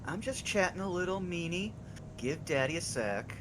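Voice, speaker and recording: A man's voice: high-pitched, lively intonation, moderate pace, noisy background. A man voicing a tired, hopeful young father who works the docks, talking earnestly and stumbling over his words. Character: weary hopeful young father, dock worker. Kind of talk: voicing a game character in a live read-along. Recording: music playing under the voice.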